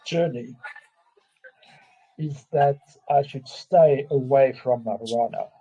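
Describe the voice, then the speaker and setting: Husky-voiced man, slow and halting. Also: medium pitch, dark tone, dull audio. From South Africa. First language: Afrikaans. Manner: halting, restarts often, openly emotional. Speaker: guest. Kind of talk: casual conversation.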